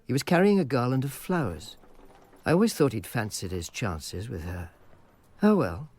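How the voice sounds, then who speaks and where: A weary sardonic Roman informer, husky tone, mid pitch, dry understatement, radio drama. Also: male voice, sing-song, normal speed, darkish tone. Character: wry weary male informer in Rome, sardonic. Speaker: private informer and narrator. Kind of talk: radio drama with first-person narration.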